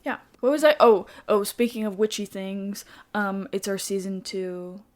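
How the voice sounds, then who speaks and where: A woman co-hosting a chatty podcast, with a quiet, soft voice, rambling and giggling, saying "like" a lot. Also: mid-range voice, measured pace. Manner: giggly, rambling, says "like" often. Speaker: podcast co-host. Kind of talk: casual chat.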